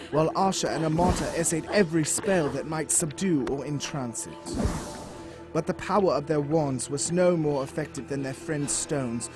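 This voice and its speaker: Male storybook narrator over music. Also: a little high. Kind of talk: dramatic scripted storybook narration.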